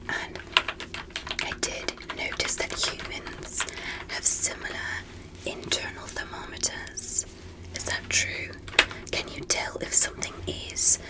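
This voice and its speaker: A whispered ASMR roleplay of a curious alien doctor, played by a woman. The delivery is slow, gentle and careful. The alien stays polite and clinical, examining a human with deliberate precision. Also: natural voice, low-quality recording.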